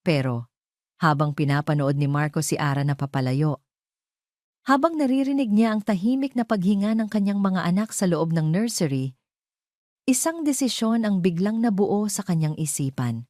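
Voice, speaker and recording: A woman's voice: low-pitched, mellow, dry recording, studio quality. A Filipino woman reading a scripted romance story as a dramatic narrator.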